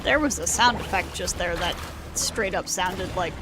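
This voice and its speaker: A woman's voice: lowish voice, moderate pace. A woman streamer giving casual live commentary on a video game as she plays. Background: game music.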